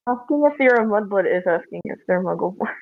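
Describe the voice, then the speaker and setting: A woman's voice: medium pitch, expressive, quick pace, no room sound. A woman casually narrating her character's antics in a tabletop roleplay game. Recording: dull, lo-fi close microphone.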